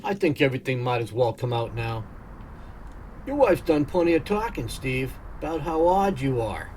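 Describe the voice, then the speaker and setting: A man's voice: medium pitch, very animated, moderate pace, darkish tone, a little hiss or hum, close to an everyday voice. A man playing a hesitant, gossiping neighbor who airs suspicions in a placating way, in a radio play. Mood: uneasy, insinuating.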